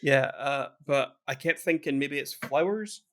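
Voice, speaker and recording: A man's voice: medium pitch, measured pace, fairly bright tone. A man co-hosting a podcast in casual chat, rambling and often restarting his sentences. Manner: chatty, rambling, frequent restarts. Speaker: podcast co-host.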